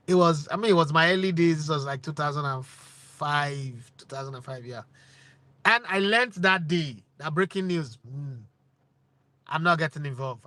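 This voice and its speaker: A Nigerian man in his forties talks straight to his viewers with a bright, forceful tone and a slightly raised pitch. He fires rhetorical questions and drops into Pidgin now and then. The sound is close and dry. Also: no room sound. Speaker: sports channel host. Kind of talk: opinionated talk to camera.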